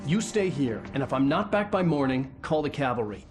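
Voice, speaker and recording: An actor playing a troubled, determined novelist in a video game, speaking seriously and tersely. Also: male voice, medium pitch, big pitch swings, brisk, natural voice. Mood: resolute. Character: troubled male novelist, intense, determined, searching for his kidnapped wife. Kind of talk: brooding first-person game narration and dramatic dialogue. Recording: music behind the voice. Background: clear background music.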